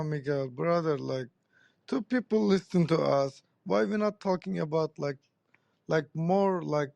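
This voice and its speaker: A man with a Turkish accent chatting casually as a guest, blunt and informal in halting non-native English. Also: a little high, vocal fry, a little mellow, dry recording. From Turkey. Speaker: podcast guest. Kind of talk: casual conversation.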